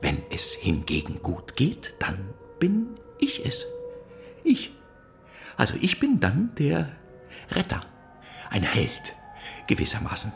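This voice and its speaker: An actor playing a flustered, pompous, gushing biscuit inventor in a children's audio drama, halting and florid in his wording. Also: medium pitch, huge pitch swings, gravelly, soft background music, heavily acted. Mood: flustered, self-important. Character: flustered, pompous male biscuit inventor, grateful and self-important.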